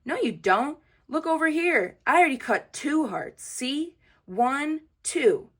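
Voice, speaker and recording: A woman acts out a mean little schoolboy from a children's storybook. The voice is pitched high, competitive, emphatic and taunting. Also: sing-song, slow pace, exaggerated voice.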